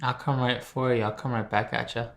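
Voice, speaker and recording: A man's voice: medium pitch, flat delivery, fairly quick, creaky, a bit bright. A man co-hosting a podcast, chatting casually with playful, self-mocking jokes. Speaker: podcast co-host.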